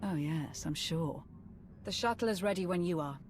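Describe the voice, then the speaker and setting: An actress playing an arch, teasing, sardonic shipmate in a video game. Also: female voice, lowish voice, moderate pace, a little mellow, soft background music, lightly acted.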